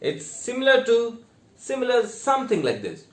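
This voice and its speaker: A man with an Indian accent giving a theatre lesson in a deliberate, step-by-step way with emphatic stressed words. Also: very high voice, wildly swooping pitch. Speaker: theatre teacher. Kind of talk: instructional online lesson with demonstrations. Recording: echoey, reverberant room.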